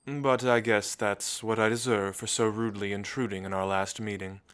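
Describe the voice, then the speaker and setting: A man playing an elegant, centuries-old vampire in an ASMR roleplay, suave and theatrical, with musing pauses. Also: fairly low pitch, steady tone, a bit bright, clear, resonant tone, close to an everyday voice. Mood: suave, playful. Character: centuries-old elegant male vampire, languid, teasing, world-weary.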